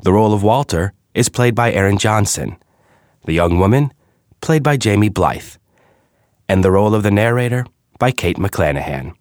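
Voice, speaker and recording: A man's voice: lowish voice, moderate pace, no room sound, studio quality. Man announcing production credits. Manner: formal, even announcing.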